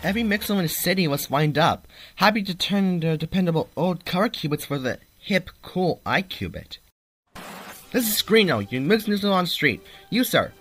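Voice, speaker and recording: An upbeat cartoon street reporter, acted by a man, talking in TV announcer patter. The voice is bright and animated, over faint music. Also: high-pitched, normal speed. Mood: chipper. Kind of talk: cartoon news parody, fan dub.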